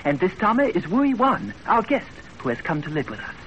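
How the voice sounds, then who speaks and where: A young Japanese brother in an old radio drama, fairly high-voiced and very animated. He is playful and breezy, on a noisy 1930s recording. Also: fairly quick, highs cut off. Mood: teasing.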